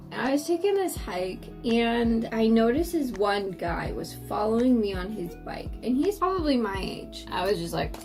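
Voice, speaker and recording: Young woman from the states, raspy morning voice, chatty and swears a lot, music playing underneath. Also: medium pitch. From United States. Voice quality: raspy from just waking up. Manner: chatty, swears, acts out quotes in character. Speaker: vlogger. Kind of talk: casual storytime while doing makeup.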